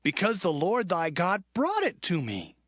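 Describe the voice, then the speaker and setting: An actor playing an eager, scheming younger son in a dramatized scripture reading, earnest and declamatory. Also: male voice, high-pitched, huge pitch swings, unhurried, a bit bright, dry recording, studio quality, exaggerated voice. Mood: eager, ingratiating. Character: younger son, deceiver posing as his brother, eager.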